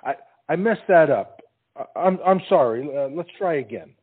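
A man acting a dim, nervous young suitor in a stage comedy, heard through tinny, narrow sound. He stammers and dodges in a fairly high voice that swings up and down. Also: animated, moderate pace, husky. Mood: floundering, bashful. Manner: hesitant, stammering, evasive. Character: tongue-tied, dim, anxious young suitor soldier.

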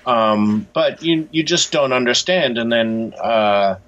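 A man answering as an interview guest in a casual, reflective and measured explanatory way. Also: mid-range voice, fairly bright tone, lo-fi sound. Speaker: interview guest, graphic novelist.

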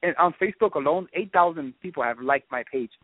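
Phone-in political guest, man, brisk earnest explainer, narrow dry line. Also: fairly high pitch, breathy edge, dry recording. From Miami, born in Puerto Rico. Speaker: guest, local party vice chairman and political campaign surrogate. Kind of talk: political radio interview.

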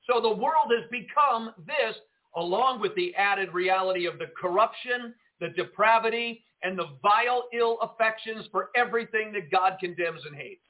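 A man, a pastor and radio host, preaching fervently and greeting listeners on a live broadcast, swinging between loud emphasis and quieter asides. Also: sits high. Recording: tinny phone-line audio.

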